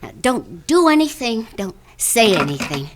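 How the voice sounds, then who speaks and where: A woman's voice: on the high side, very animated, moderate pace, background noise. A woman playing a worried, placating wife in an old-time radio drama. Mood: anxious, placating. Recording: radio drama with music underneath.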